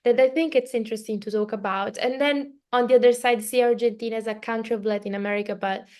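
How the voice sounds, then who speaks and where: A woman's voice: a little high, steady tone, quick pace, darkish tone. A woman from Italy, a native Italian speaker with an Italian accent, hosting a casual, reflective podcast conversation. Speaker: podcast host.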